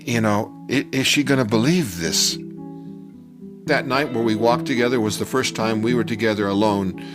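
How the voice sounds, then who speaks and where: An older man telling a personal story from his youth in a conversational, halting and animated way. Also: on the low side. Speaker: storyteller telling his own childhood memory. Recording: music bed under the voice. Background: music.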